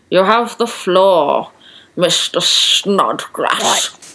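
A woman's voice: fairly low pitch, bright, forceful tone, exaggerated voice. A woman in an audio play, playing a proper young lady who presides pompously as a club president.

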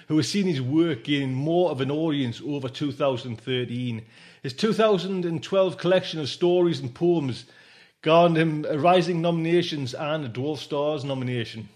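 A man's voice: fairly high pitch, moderate pace, a little mellow. A man hosting a podcast in a casual, rambling, informal way, dropping in conversational tag phrases. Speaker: podcast host.

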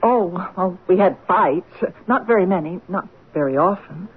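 A naive, lovelorn American woman in an old-time radio play. She is breathy and a little husky, speaking in simple, hesitant, girlish phrases with a wistful air. The recording is thin and narrow like an old broadcast. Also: on the low side, expressive, moderate pace. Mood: sad, bewildered.

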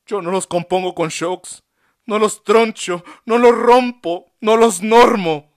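A man voicing a desperate male asylum patient who pleads loudly against shock treatment in a storybook reading. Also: drawn-out words. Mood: impassioned. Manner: shrill pleading outbursts.

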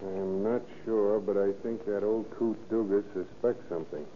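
An actor playing a scheming, suspicious crook in a 1940s-style radio crime drama. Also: male voice, on the low side, fairly even intonation, moderate pace, close to an everyday voice. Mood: suspicious, determined. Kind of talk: 1940s radio villain acting. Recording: tinny, noisy old radio broadcast.

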